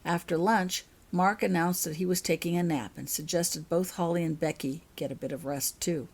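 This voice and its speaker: A woman's voice: on the low side, steady tone, moderate pace, husky. A woman reading her own fiction aloud as an audiobook-style story narration.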